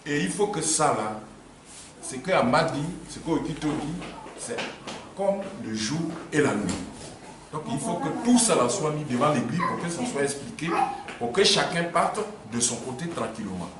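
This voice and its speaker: A man recounting a church dispute in an animated storytelling manner, repeating himself as he goes. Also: fairly high pitch, moderate pace. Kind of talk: spontaneous spoken account. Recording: echoey room, distant mic, background noise. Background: ambient room noise.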